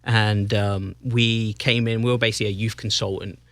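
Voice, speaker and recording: English man, Northampton accent, relaxed guest on a podcast, halting delivery, dry close mic. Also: lowish voice, dry recording. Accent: English, Northampton area. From England. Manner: easygoing, halting with restarts and fillers. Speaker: guest, soccer club president. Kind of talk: casual interview chat.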